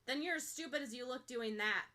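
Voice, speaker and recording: Woman acting a gruff, hot-tempered teenage boy. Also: high-pitched, a little fast, pushed and bright. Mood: gruff, dismissive. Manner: curt, grouchy, defiant.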